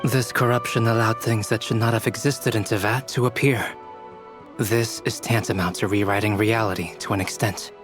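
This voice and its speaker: An actor playing a composed, analytical young scholar in a fantasy game, speaking formally and precisely. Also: male voice, on the low side, fairly even intonation, normal speed, close to an everyday voice. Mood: calm, analytical. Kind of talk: scripted game dialogue. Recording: music clearly under dialogue.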